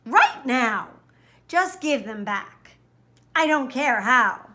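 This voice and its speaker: A woman voicing a brave, stern young girl in a storybook, speaking firmly with drawn-out emphasis. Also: sits high, expressive, pushed and bright, full character voice. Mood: angry, commanding. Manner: firm, commanding, drawn-out emphasis.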